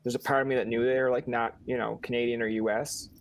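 A man with a Newfoundland accent hosts a casual podcast. His voice sits at an ordinary middle pitch. He winds through long questions and adds joking asides along the way. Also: fast talker. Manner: rambling, jokey, animated asides. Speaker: podcast host.

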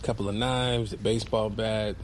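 A man's voice: lowish voice, flat delivery, normal speed, noisy background, natural voice. An actor playing a sarcastic, skeptical man in a comedy audio drama, speaking casually and with incredulity. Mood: dry banter. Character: sarcastic, skeptical male buddy on an amateur mission. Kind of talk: comedic audio fiction acting.

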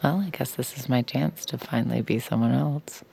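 An actress playing a troubled, secretive woman in an audio drama, confiding and restless, with a low, creaky voice. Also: moderate pace, very noisy, exaggerated voice. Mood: dry, playful. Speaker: lead actor and first-person narrator. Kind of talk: audio drama acting with inner monologue.

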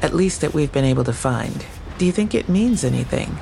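A persistent, plain-spoken police investigator in an audio drama. Her voice is fairly low with a heavy creak, matter-of-fact and inquisitive, over faint background noise. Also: lively intonation, fairly quick, warm tone, exaggerated voice. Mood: puzzled, questioning.

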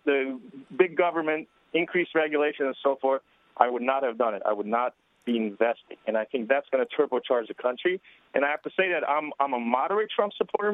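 A man's voice: medium pitch, no room sound. Syrian-born man calling in by phone. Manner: earnest, measured, with frequent hesitations. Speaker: caller. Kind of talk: call-in radio conversation. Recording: tinny phone line.

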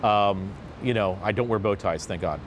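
A man hosting a casual roundtable discussion, talking in an animated and jokey way with an upbeat lilt. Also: on the low side, normal speed, slight background noise. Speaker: host of a discussion panel. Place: seated at a table in a city.

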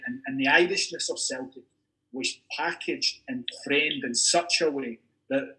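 Measured Scottish man, academic. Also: medium pitch, bright, forceful tone, poor audio. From Scotland. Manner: measured and careful, qualifying his points. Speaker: university academic discussing football history. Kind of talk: podcast discussion.

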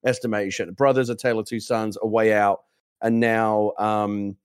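A man co-hosting a casual gaming show, loud and enthusiastic, rambling with restarts. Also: lowish voice, flat delivery, normal speed, clean tone, no echo, clean studio sound. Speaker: co-host. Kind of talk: casual gaming news chat.

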